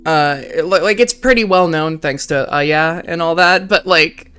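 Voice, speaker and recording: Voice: medium pitch, a little slow, fairly bright tone, clear, resonant tone, faint music behind. A streamer wrapping up a live game session in casual chat, talking freely with lots of "like" and swearing.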